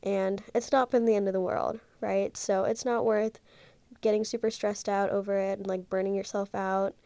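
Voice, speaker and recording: A woman's voice: medium pitch, little pitch movement, normal speed, vocal fry, mellow, no room sound. A young woman in her twenties with a stuffy, congested nose, talking casually and rambling on her solo podcast into a handheld mic, full of "like" and "um". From Ohio, USA. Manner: chatty, rambling, many fillers like "like" and "um". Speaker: podcast host.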